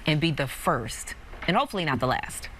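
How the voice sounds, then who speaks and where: A woman's voice: low-pitched, lively intonation, a little fast, fairly bright tone, slight background noise, rough recording. An American woman co-hosting a TV talk show, asking questions in a conversational style. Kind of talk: conversational TV interviewing.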